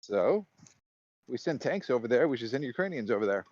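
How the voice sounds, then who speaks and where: Deadpan teasing man, muffled audio. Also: mid-range voice, brisk, dry recording. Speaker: regular on a trading stream. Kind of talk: casual chat on a live trading stream. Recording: dull lo-fi sound.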